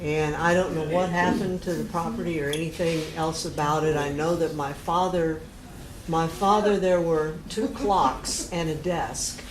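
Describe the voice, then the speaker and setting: A mature woman with an American accent, explaining family history casually and in detail, pausing to recollect. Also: low voice, steady tone, crowd noise. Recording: echoey room with clear noise.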